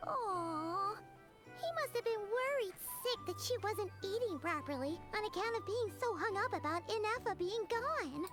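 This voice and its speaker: A woman voicing a small, chatty, childlike fairy companion in an anime game, with a squeaky, chirpy voice. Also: lively intonation, moderate pace. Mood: sympathetic. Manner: refers to herself in third person, chirpy. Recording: game music behind the voice.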